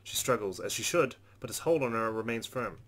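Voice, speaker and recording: A man's voice: lowish voice, expressive, brisk, a bit bright. A man hosting a game playthrough, reading visual novel narration aloud. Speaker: let's play host.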